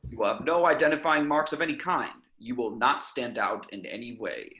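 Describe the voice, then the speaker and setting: Guy doing a commanding secret agency chief in a script read, medium pitch, measured and stern. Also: pushed and bright. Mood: solemn, commanding. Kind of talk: amateur film script table read.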